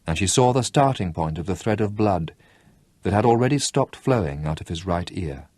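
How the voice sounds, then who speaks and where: A man reading a novel aloud in an expressive audiobook style, with lively, dramatic delivery and slowed emphasis. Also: sits low, husky, no room sound, clean studio sound.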